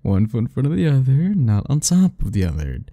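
A man voicing a doting, possessive yandere admirer in soft, husky, intimate murmurs for an ASMR romance roleplay. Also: medium pitch, huge pitch swings, no room sound, clean studio sound. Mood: tender, coaxing. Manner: slow, intimate murmuring, coaxing and self-talking.

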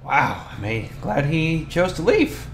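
Male actor as young bashful bully, ASMR roleplay, halting stammer, lively intonation, noisy ambient backdrop. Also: on the high side, full character voice. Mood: tender, sincere. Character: flustered, tough-talking young male bully with a soft crush. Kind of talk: ASMR boyfriend roleplay acting. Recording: roomy echo, noisy ambience behind.

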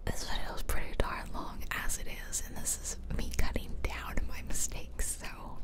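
A woman giving ASMR game commentary in a soft, chatty, self-deprecating way, close up on the mics. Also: moderate pace. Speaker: gaming video host. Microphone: close ear-to-ear stereo mics.

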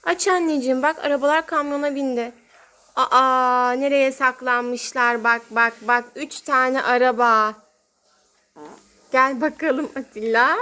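A woman's voice: high voice, steady tone, measured pace, pushed and bright. A woman hosting toy play for young children as a mother, talking in a sing-song, exclaiming way and drawing out her words.

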